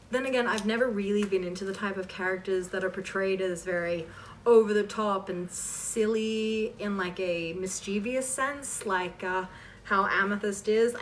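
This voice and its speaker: A woman's voice: mid-range voice, pushed and bright. Young woman chatting, distant noisy recording. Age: 20s. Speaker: online voice performer answering fan questions. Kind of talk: casual Q&A chat. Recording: distant mic with clear background noise.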